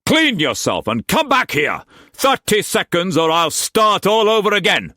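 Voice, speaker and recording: A man's voice: sits high, big pitch swings, measured pace, sharp tone, dry recording, clean studio sound, voice pushed up, full character voice. A man voicing a furious, domineering master who barks harsh commands at his household. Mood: raging, bellowing.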